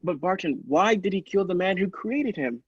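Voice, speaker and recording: A man's voice: high voice, animated, moderate pace, breathy edge, no room sound, muffled recording. A man playing an earnest, shaken doctor in a radio play, agreeable and hesitant, with dramatic stammers. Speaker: podcast actor.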